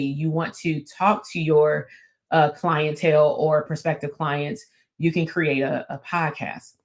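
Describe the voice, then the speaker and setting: A middle-aged woman teaches casually to camera with a raspy, strong voice that is far from soft. She talks like a therapist-turned-coach, loose and conversational, often pausing on an "um" and restarting her sentences. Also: low-pitched. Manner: conversational, with many fillers and restarts. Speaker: therapist and business coach hosting her channel.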